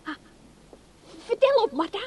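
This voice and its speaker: An actress playing a nosy, know-it-all gossip in a radio play. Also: female voice, very high voice, very animated, a little slow, exaggerated voice. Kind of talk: Dutch radio play acting. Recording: noisy old radio drama recording.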